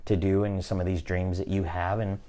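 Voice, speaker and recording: A man in his fifties hosting a podcast, talking in a relaxed, motivational way and often checking in with "right?". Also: deep voice, fairly quick. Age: 50s. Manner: conversational, repeats "right?" often, restarts phrases. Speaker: podcast host. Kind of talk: casual motivational chat.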